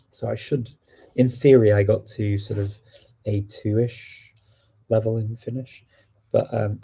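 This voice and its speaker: Gravelly, dark-voiced man. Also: lowish voice. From United Kingdom. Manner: relaxed and chatty, answering questions as they come in. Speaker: language-learning livestream host. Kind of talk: casual live chat answering viewers.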